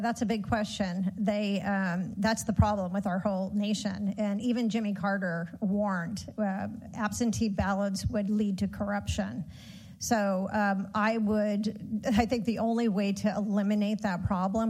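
A woman answering a question as a candidate in a debate, halting, with many fillers and restarts. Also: medium pitch, darkish tone.

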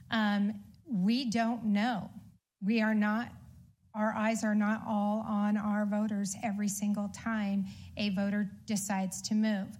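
A woman at a debate, explaining voter rules firmly, step by step. She puts the odd filler in but keeps a steady, sure manner. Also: medium pitch. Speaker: county election official and candidate. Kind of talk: debate answer.

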